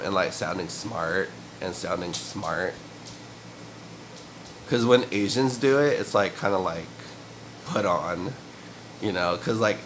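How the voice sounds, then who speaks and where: A man's voice: low-pitched, noisy background. Sniffly young man rambling to camera. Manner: loose and meandering, filler-heavy, frequent throat clearing and chuckles. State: sniffly with a cold and cough. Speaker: vlogger. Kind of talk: rambling casual monologue to camera. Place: room at home. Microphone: camera mic.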